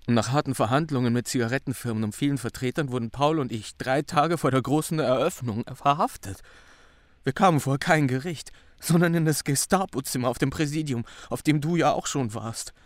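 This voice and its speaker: A man's voice: medium pitch, fairly quick, no echo, natural voice. An actor playing a troubled, bewildered young man in a radio drama, recounting things in an earnest, brooding way. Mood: confessing. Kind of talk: German radio drama acting.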